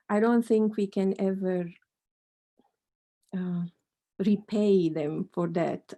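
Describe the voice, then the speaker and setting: A woman's voice: on the low side, mellow. A middle-aged woman from Romania, a mathematics professor, answering questions in a thoughtful, hesitant way with many restarts. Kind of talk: panel talk answering questions.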